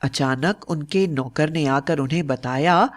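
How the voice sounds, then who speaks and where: A woman's voice: deep voice, unhurried. A woman narrator reading a scripted story.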